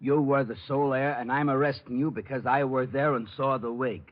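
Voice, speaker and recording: An actor plays a slow-witted police sergeant from a vintage radio drama. He plods along in a medium-pitched voice and bungles his grammar. The sound is dull and aged. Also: fairly even intonation, over loud music, natural voice. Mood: dutiful, dim. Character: dim, ungrammatical male police sergeant. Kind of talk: 1940s radio comedy-drama acting. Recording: dull old radio recording.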